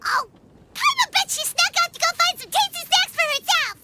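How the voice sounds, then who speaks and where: Squeaky, tiny excitable fairy. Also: female voice, a little fast, exaggerated voice. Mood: nosy, chatty. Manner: squeaky, exclamatory, talks about self in third person. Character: tiny childlike fairy companion, chirpy, nosy and excitable. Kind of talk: video game cutscene acting.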